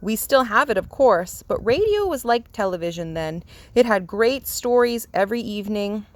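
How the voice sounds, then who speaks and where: Old grandfather bear, acted by a woman, kindly, reassuring, reminiscing, storybook read-aloud, mid pitch. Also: natural voice.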